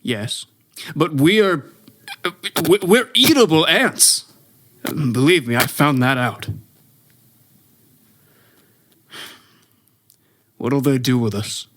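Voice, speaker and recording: Male actor as weary invasion survivor, radio drama, middle pitch, earnest, hesitant, questioning. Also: lively intonation, takes it slowly, a bit bright, a little hiss or hum. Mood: despairing.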